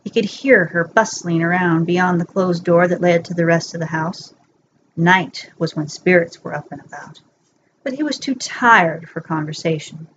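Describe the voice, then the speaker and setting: A woman's voice: fairly low pitch, moderate pace, a bit bright. A woman narrating fan fiction as a bedtime story, reading from a script in an amateur recording. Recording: dull lo-fi home recording, faint noise.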